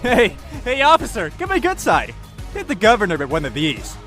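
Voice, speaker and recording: A man's voice: sits high, wildly swooping pitch, moderate pace, over music. A man playing a cocky, laid-back hacker in an audio drama, talking in a flippant, casual, wheedling way.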